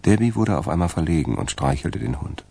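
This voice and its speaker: A man with a gravelly, creaky voice, narrating a radio crime drama from a script. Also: sits low, lively intonation, a little fast, mellow, exaggerated voice.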